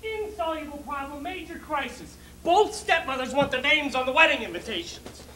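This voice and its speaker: Frantic, put-upon groom male actor for a stage comedy, bright forceful voice, very animated, emphatic. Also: very high-pitched, normal speed, a little hiss or hum, full character voice. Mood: exasperated. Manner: agitated, emphatic, swooping delivery. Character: frantic, put-upon bridegroom, exasperated and neurotic. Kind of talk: theatrical stage monologue.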